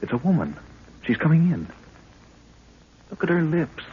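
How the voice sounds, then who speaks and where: An actor playing a young adventurer and sidekick, earnest, deferential and questioning, in a 1930s radio drama. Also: male voice, a little high, very animated, normal speed. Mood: amazed, uneasy. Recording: dull, hissy 1930s radio recording.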